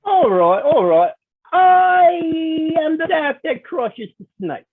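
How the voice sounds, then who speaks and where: A woman playing a mocking evil male wizard in a table read, slow and halting, with a husky voice and giggles. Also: high-pitched, very animated, heavily acted. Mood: taunting. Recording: muffled, tinny phone-like call audio.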